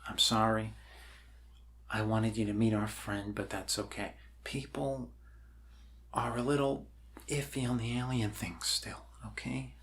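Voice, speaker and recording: A man performs an ASMR roleplay as an unconventional, awkward doctor. He talks softly at a middling pitch, in a rambling, hesitant way with frequent apology and reassurance. Faint background noise sits behind the voice. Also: vocal fry. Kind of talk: ASMR roleplay, improvised soft talk.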